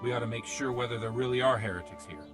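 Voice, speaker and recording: An actor playing a cautious, protective older village priest in a video game, speaking plainly and earnestly. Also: male voice, mid-range voice, quick pace, close to an everyday voice. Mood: earnest, resolute. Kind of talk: video game cutscene acting. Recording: music behind the voice.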